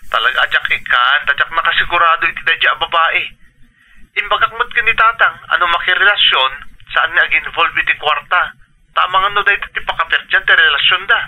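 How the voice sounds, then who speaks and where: A man's voice: on the high side, fairly quick, muffled recording. A man with an Ilocano accent playing a concerned, frustrated elder brother through a telephone filter in a radio drama. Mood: alarmed, annoyed.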